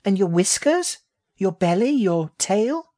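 A tiny, feisty baby girl rat from a storybook, put on by a man, pitched far up and thin. The delivery is pert, proud and inquisitive, with a swooping childlike lilt. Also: sing-song, slow pace, warm tone, exaggerated voice. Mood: curious. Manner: pert, indignant, questioning. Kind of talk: children's audiobook character.

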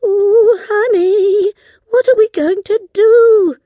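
A woman voicing an eager, excitable young girl with a wide-eyed, exclaiming manner in a children's adventure story. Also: high voice, moderate pace, no room sound, muffled recording, pitched above the performer's own voice. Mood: worried.

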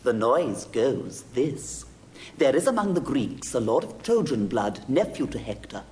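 A man's voice: mid-range voice, sing-song, a little slow, a little mellow, slight background noise. An actor playing a gossipy male servant who reports news in rhetorical, storytelling Shakespearean style. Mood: relishing gossip. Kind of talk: classical Shakespearean stage acting.

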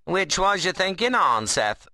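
A man's voice: high-pitched, big pitch swings, measured pace, bright, forceful tone, no echo, voice pushed up, exaggerated voice. A man voicing a wiry, sarcastic young workman in an audiobook novel, mocking and needling in a dialect. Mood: teasing, sarcastic.

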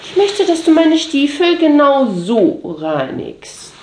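Lady playing a bossy haughty mistress in an audio drama, highish voice, whispery and condescending, roomy echo. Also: sing-song, darkish tone, slight background noise, heavily acted. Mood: commanding, sensual. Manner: slow, commanding, condescending, often dropping into breathy whispers. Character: haughty dominatrix mistress commanding her servant. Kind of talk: scripted German audio drama monologue, intimate.

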